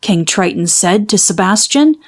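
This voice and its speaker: A woman narrating a children's storybook in an expressive, measured storytelling manner. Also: medium pitch. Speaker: children's book read-aloud narrator.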